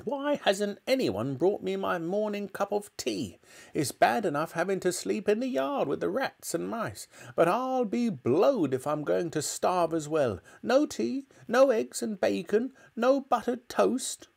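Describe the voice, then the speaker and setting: A giant, cantankerous old granny from a children's storybook, high-pitched and bossy. She shouts indignantly in big swooping tones. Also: very animated, unhurried, gravelly, heavily acted. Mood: indignant, demanding.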